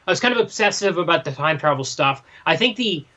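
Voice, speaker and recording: A man's voice: on the high side, animated, fast, bright, forceful tone, highs cut off. A man co-hosting a podcast in casual discussion, giving his review. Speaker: podcast co-host reviewing comics.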